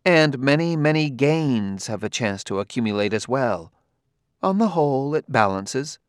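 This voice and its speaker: A man's voice: medium pitch, expressive, a little slow, smooth, dry recording, studio quality, lightly acted. A man voicing a haughty, condescending woman scientist in an audiobook, speaking precisely as if lecturing. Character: haughty, cool woman scientist of a spacefaring world.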